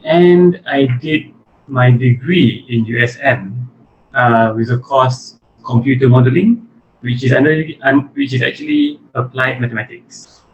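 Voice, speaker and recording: A Malaysian-accented man answers casually as an interview guest. His mid-range voice has plenty of fry and gravel. The recording is somewhat muffled, with slight background noise. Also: medium pitch, expressive, measured pace. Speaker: interview guest, animation studio chief executive. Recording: muffled lo-fi audio with faint noise.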